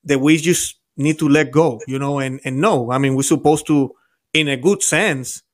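A man in his forties, a native Spanish speaker, hosting an informal interview and often checking for agreement as he goes. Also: fairly high pitch. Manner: informal, halting, seeks agreement with tag questions. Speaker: host, interviewer. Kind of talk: conversational interviewing. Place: home office, indoors, video call. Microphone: desk microphone up close.

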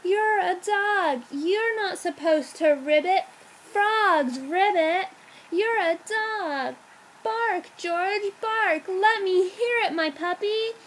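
An exasperated storybook mother dog, acted out by a woman, very high and slow. She stretches her words for emphasis as she scolds and pleads with her puppy. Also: a little hiss or hum. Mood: insistent, coaxing.

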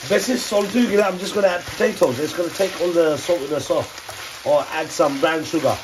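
A man's voice: a little high, a little fast. A middle-aged man chatting casually as he cooks in his home kitchen, thinking aloud and joking. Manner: chatty, thinks aloud, jokes and asks himself questions. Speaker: vlogger cooking at home. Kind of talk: casual chatty cooking narration. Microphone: camera over his shoulder, moving around the counter. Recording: faint background noise, frying sounds.

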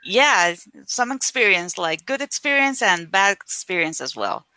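A woman whose first language is Spanish, a guest on a live video call chatting casually about her work, with lots of "like" fillers and lively retelling. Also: medium pitch, moderate pace, no echo. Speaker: guest, affiliate marketer. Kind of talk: casual interview chat.

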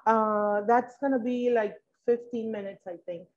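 A woman's voice: on the high side, steady tone, a little slow, darkish tone. A middle-aged woman giving a live cooking demonstration in a kitchen, thinking aloud as she works. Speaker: cooking class instructor. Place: indoor kitchen with steel fridge and counters. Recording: dull, muffled lo-fi live video sound.

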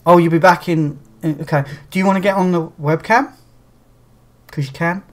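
A man's voice: on the high side, fairly even intonation, moderate pace. A middle-aged man streaming from a dim small room, chatting casually and rambling, his voice a bit strained after singing. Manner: rambling, restarts, chatty, plays acoustic guitar while talking. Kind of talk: casual chat. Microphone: webcam framing at desk.

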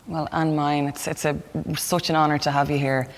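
A woman's voice: sits low, little pitch movement, moderate pace. Young Irish woman interviewing in a reverberant hall. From Kildare, Ireland. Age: young adult. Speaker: interviewer, musician. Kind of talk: friendly interview questions. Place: large historic hall indoors.

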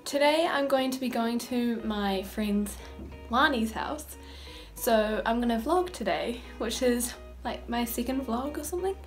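A woman's voice: on the high side, a little slow, faint music behind. A teenage girl with a New Zealand accent, chatting casually and informally as she vlogs on a phone held at arm's length. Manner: giggly, informal. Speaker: vlogger. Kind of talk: casual vlog chat. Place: rooms at home, then stable and paddock.

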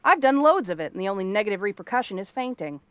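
A woman's voice: medium pitch, big pitch swings, fast, clean tone, no echo, lightly acted. A woman playing a cranky exiled princess turned frog girl in a fantasy ASMR roleplay, haughty, sarcastic and theatrical. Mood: defensive, haughty. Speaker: voice actress.

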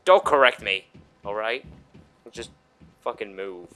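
A man's voice: lowish voice, big pitch swings, unhurried, bright, forceful tone. A man giving casual, profane, joking let's play commentary with mock exasperation. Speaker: gaming channel host.

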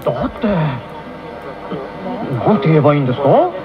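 A man's voice: a little high, big pitch swings, normal speed. An actor playing a flustered, pleading teenage boy who stammers and hesitates, in a radio drama. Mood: nervous. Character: flustered, pleading teenage boy on trial over drunken mistake. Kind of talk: 1990s radio drama acting. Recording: muffled AM radio broadcast, music behind.

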